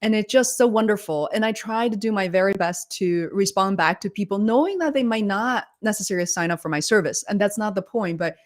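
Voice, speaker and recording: A woman's voice: mid-range voice, a little fast, hardly any pauses. A woman hosting a livestream interview over a video call, talking in an enthusiastic, effusive conversational way. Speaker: livestream host.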